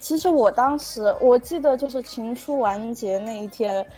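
A woman's voice: on the high side, normal speed, warm tone, low-quality recording. A woman guest giving casual interview answers, often hesitating as she searches for words. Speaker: poster artist for an audio drama, as guest. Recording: faint music behind the voice. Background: background music.

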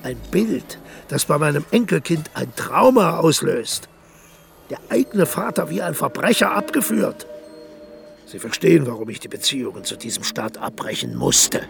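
A self-pitying, grandiose dictator in a radio play. The voice is heavily gravelly and sits at a middle pitch. Music runs beneath him. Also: male voice. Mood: aggrieved. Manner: self-justifying, swings from loud outrage to whispers. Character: eccentric aging male dictator, grandiose and aggrieved. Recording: music bed under the voice.

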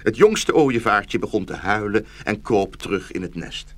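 A man's voice: lowish voice, expressive, normal speed, a bit bright. A man narrating a scripted fairy tale for children as the storyteller of a radio play.

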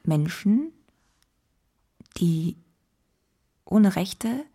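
An anguished woman delivers a theatrical stage monologue at a middle pitch. She is guilt-ridden and agitated, repeating herself and breaking into exclamations. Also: lively intonation, drawn-out words, mellow, slightly rough, exaggerated voice. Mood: grave. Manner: exclamatory, repetitive, swinging between whisper and outburst.

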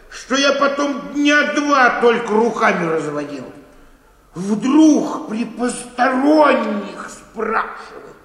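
An actor playing a sour, fussy elderly bookkeeper in a radio play, grumbling and halting as he repeats himself. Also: very high-pitched, a bit bright, heavily acted. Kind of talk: theatrical radio play acting. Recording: echoey hall, long reverb.

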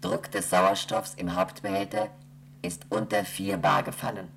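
A woman voicing a station computer that reads out emergency rules in a flat, mechanical monotone. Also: a bass voice, a little slow, slight background noise. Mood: dictating. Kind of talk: 1970s radio play acting.

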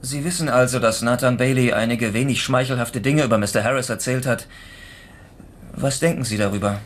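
An actor playing a calm, probing police investigator in a naturalistic radio drama. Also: male voice, medium pitch, brisk, a little hiss or hum, natural voice.

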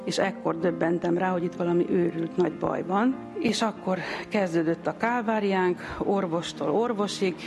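Woman, middle-aged or older, interviewee giving spontaneous testimony, noticeably creaky voice, mid pitch. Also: fairly even intonation, over music. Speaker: interviewee, relative of a patient. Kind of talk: personal testimony, spontaneous storytelling.

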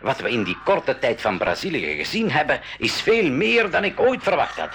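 An adventurous, kindly professor in a radio play. His voice moves animatedly up and down with a bright edge, breaking into mutters and chuckles, over faint recording noise. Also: a little high, sing-song, normal speed. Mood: jovial. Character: kindly adventurous male professor, inventor of a flying submarine. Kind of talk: 1980s school radio play acting. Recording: faintly noisy 1980s radio drama recording.

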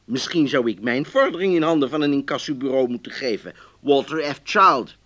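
A man's voice: medium pitch, a little fast, a bit bright, close to an everyday voice. An actor playing a polite, increasingly exasperated man reading out his formal complaint letters in a radio drama. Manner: formal, emphatic.

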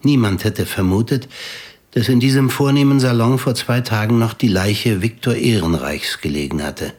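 A man narrating a literary radio drama in a measured, deliberate, scripted storytelling manner. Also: mid-range voice, darkish tone, slightly rough.

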